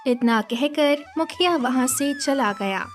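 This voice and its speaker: A woman reads a children's story as narrator. Her voice is very high and her delivery slow and measured. Soft music runs faintly underneath.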